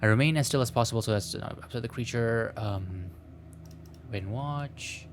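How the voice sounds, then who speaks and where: A man's voice: medium pitch, moderate pace, slight background noise. A man hosting a gameplay video, reading a visual novel aloud with playful, self-mocking commentary and stumbles over the text. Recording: music playing behind the voice. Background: music.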